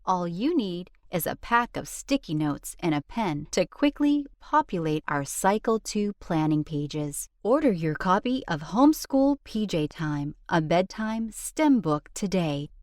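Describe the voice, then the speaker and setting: Woman doing a kids book read-aloud, sing-song and animated, really dry close sound. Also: lowish voice, unhurried, hardly any pauses, no echo. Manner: expressive, sing-song delivery. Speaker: children's book reader. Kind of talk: scripted rhyming read-aloud storytelling.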